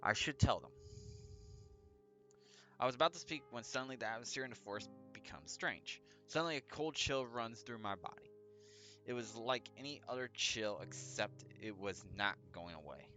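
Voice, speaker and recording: Bright-voiced man reading a game aloud. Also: medium pitch, animated, normal speed. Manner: stumbles over read text and corrects himself. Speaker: let's play host. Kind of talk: reading a visual novel aloud with casual gaming commentary. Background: background music.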